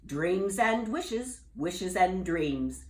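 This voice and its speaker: A woman voicing a sly, whimsical male wizard in a children's story, speaking slowly in a knowing sing-song. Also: on the low side, lively intonation. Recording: echoey room.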